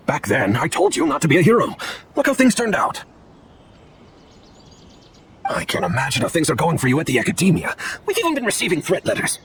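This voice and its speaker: A man's voice: on the high side, huge pitch swings, fairly quick, pauses often, a little hiss or hum. An actor playing a worried, weary, nagging father in a video game, with a scolding manner. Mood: reproachful, worried. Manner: scolding, sighing. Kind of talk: scripted video game dialogue.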